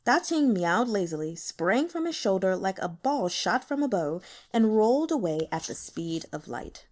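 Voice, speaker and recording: A woman narrating a story as an audiobook reader, in an expressive scripted style. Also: medium pitch, normal speed.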